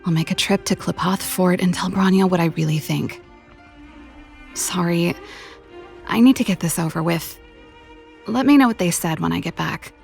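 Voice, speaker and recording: A free-spirited engineer and older sister in a video game. Her voice is lowish and creaky, casual yet guarded and wistful, with faint music behind it. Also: normal speed, pauses often, darkish tone. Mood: resigned. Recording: music under the voice.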